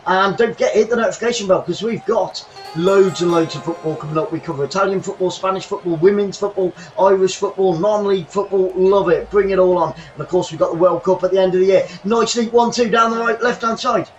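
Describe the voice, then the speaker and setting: A man hosting a live football watchalong from his bedroom, commentating the match and thanking viewers, getting excitable and shouting when chances come. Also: high-pitched, fast talker, dull audio, faint music behind. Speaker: watchalong host. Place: home bedroom.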